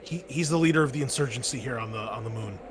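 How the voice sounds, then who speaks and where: A man running a tabletop game as game master, talking in halting, stammering bursts. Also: medium pitch, slight background noise.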